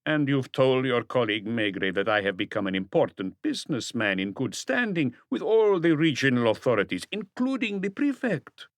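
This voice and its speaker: A man voicing a suave, guarded crook turned businessman in an audiobook, with slow, smoothly polite, deliberate speech. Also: mid-range voice, very animated, dry recording, studio quality, voice pushed up, natural voice. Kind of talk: audiobook character dialogue.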